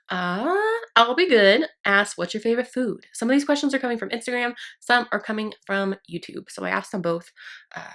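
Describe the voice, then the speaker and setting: A woman's voice: medium pitch, vocal fry, a bit bright. A young woman vlogger chatting casually while doing her makeup at home, rambling and self-deprecating as she gets over a sinus infection. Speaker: beauty vlogger. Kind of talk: casual get-ready-with-me chat. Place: downstairs at home by her vanity.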